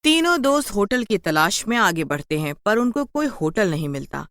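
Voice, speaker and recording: A woman's voice: medium pitch, sing-song, normal speed, fairly bright tone, no echo, studio quality. A woman narrating a scripted horror story as a storyteller.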